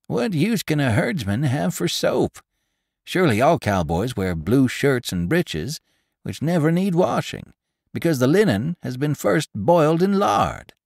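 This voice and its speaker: A man's voice: a little high, huge pitch swings, slow, gravelly, hardly any pauses, darkish tone, husky, dry recording, pitched above the performer's own voice, heavily acted. A pompous horse dealer who lectures in a raised, showy voice, as a male reader puts him on. The recording is dry and close.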